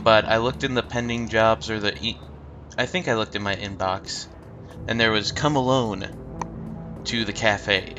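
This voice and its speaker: A man with a stuffed-up nose hosting a let's play, talking in a rambling, hesitant casual way. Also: medium pitch. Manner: rambling, hesitant, many ums. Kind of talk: casual game commentary. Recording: game music behind.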